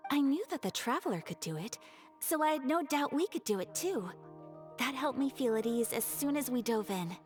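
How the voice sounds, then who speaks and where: A woman playing a gentle, composed young lady in a video game, polite and measured in manner. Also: high voice, expressive, soft background music. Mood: serene.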